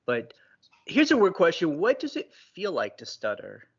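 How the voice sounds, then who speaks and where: A man's voice: a little high, huge pitch swings, moderate pace, breathy edge. Man interviewing casually, slightly dull recording. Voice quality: occasional word repetitions of a stutterer.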